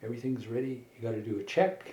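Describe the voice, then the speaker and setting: A man's voice: mid-range voice, steady tone, quick pace, warm tone, lightly acted. A man voicing an eager, proud talking truck in a children's story, with a folksy, self-assured manner. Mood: confident, eager. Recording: echoey room with faint background noise.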